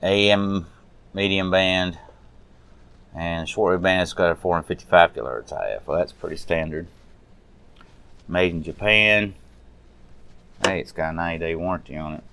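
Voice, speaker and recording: A man's voice: low-pitched, moderate pace, long pauses, pushed and bright, smooth. A man giving a casual, unscripted hands-on walkthrough as a hobbyist, muttering to himself in folksy, informal speech as he works. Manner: unscripted, muttering to self while working. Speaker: radio restoration hobbyist, presenter.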